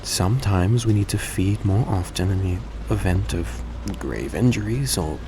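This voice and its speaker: A man playing a courteous, gentle vampire in an intimate audio roleplay, speaking politely and a little hesitantly to the listener. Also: low-pitched, normal speed. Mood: explaining, relaxed. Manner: soft-spoken, polite, hesitant, formal phrasing. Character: courteous, gentle male vampire repaying a debt. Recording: steady background noise behind voice.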